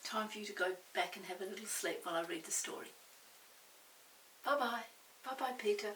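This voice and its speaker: An older woman reading a picture book aloud for small children, pausing to describe pictures and ask questions. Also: medium pitch, fairly bright tone. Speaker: storyteller. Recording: roomy, distant mic, faint hiss.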